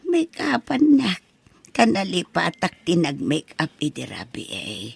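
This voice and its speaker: A woman acts out an elderly Ilocano mother, muddled and vain. Her voice is creaky and husky, and she talks slowly with lively swings of pitch. It is played for audio comedy. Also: no echo, exaggerated voice. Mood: muddled, insistent. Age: older. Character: confused elderly mother fixated on putting on makeup. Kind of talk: comedy audio drama acting.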